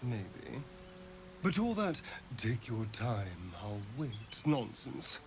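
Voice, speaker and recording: An actor playing an impatient, sardonic fantasy adventurer in a video game, with a British accent and a theatrical, scornful delivery. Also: male voice, mid-range voice, big pitch swings, drawn-out words, creaky, noisy background, full character voice. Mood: bitter, wary. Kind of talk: video game character acting. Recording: loud game music behind. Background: game music.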